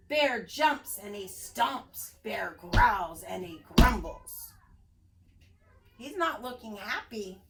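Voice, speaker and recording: Animated, high-pitched woman reading to children. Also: low-quality recording. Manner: sing-song, addressing young children. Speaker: preschool teacher reading aloud. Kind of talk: expressive children's story read-aloud.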